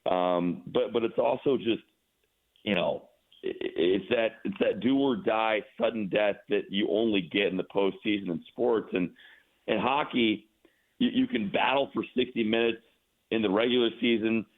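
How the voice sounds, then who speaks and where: Male sportscaster guest, lowish voice, halting and emphatic, thin phone-band audio. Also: narrow, tinny audio. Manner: halting, repeats words for emphasis. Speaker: radio play-by-play announcer, guest. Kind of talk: casual sports radio interview commentary.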